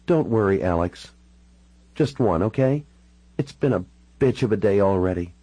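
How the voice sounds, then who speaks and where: A man's voice: fairly low pitch, lively intonation, normal speed, warm tone, dry recording. A man voicing a troubled, sardonic, hard-drinking woman with a wry, frank and informal way of talking, in audiobook dialogue. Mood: weary, wry.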